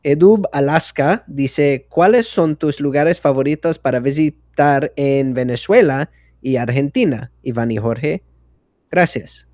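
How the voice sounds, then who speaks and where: Slow-speaking male Spanish learner. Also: fairly high pitch, a little mellow, no room sound, clean studio sound. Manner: slow, searching for Spanish words. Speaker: podcast host, Spanish learner. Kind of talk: casual chat.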